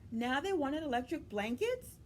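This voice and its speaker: A woman hosting a children's story time, reading a picture book aloud in a deliberate, expressive way and speaking directly to young listeners. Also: sits high, slight background noise.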